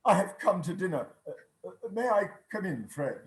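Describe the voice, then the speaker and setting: Overjoyed old gentleman in a radio play: high, swooping, effusive, echoey room. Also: big pitch swings, normal speed. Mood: eager, hopeful. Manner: exclamatory, effusive, repeats phrases for emphasis. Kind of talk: theatrical radio play reading. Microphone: video call. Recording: roomy, echoing video call.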